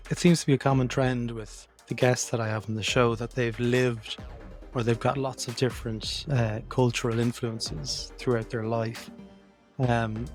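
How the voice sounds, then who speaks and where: A man's voice: medium pitch, a little mellow. A man hosting a design podcast, reading an ad and welcoming his guest, with short encouraging replies. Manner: friendly, encouraging with short backchannels. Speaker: podcast host. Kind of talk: podcast interview.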